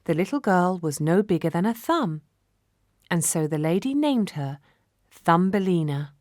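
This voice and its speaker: A woman narrating a children's fairy tale from a script, measured and expressive. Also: on the low side, no echo. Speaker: storyteller.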